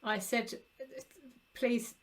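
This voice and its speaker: A woman's voice: extremely slow, fairly bright tone. A woman hosting an interview, supportive and empathetic, using frequent fillers as she talks. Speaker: interview host.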